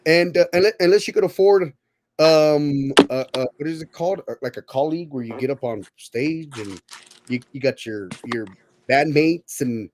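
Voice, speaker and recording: A man's voice: on the high side, moderate pace, background noise. A man in his thirties hosting a casual podcast chat, rambling and often repeating his words. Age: 30s. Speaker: podcast host.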